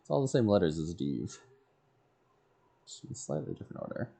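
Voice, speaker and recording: A man's voice: low voice, sing-song, brisk, creaky, dark tone. A man streaming casually, thinking aloud and muttering to himself in short fragments. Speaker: game streamer.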